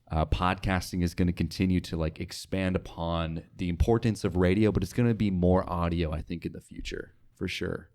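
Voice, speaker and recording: A man's voice: low-pitched, a little mellow. A young man talking casually as an interview guest, informal and rambling, often saying "like". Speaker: podcast guest, radio sales associate.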